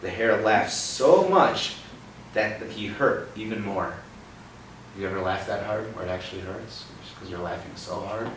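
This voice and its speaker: A man's voice: fairly low pitch, normal speed. Man reading a storybook to a child. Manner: expressive storybook reading broken by gentle teaching questions. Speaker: read-aloud host. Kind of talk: reading a picture book aloud to a child. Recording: roomy, distant mic with background noise.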